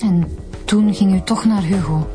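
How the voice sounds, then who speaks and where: A woman's voice: medium pitch, measured pace, dark tone, natural voice. A woman acts as a Flemish detective in a radio play. She is matter-of-fact, informal and probing, with a mid-range voice and music behind her. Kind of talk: Flemish radio drama acting.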